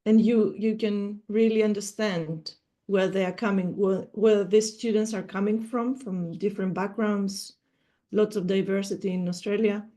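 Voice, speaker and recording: A woman's voice: mid-range voice, steady tone, normal speed, warm tone. A woman interviewing a guest, asking long, winding questions and correcting herself as she goes.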